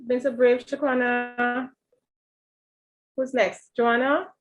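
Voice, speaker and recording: A woman co-hosting an online youth group, briskly calling on each speaker and thanking them. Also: fairly high pitch, little pitch movement, lo-fi sound, soft background music.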